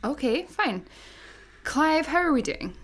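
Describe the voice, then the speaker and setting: A chirpy young space traveller in a radio comedy. Her voice is high, keen and coaxing. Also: very animated, slow pace, fairly bright tone, heavily acted. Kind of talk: radio sitcom acting.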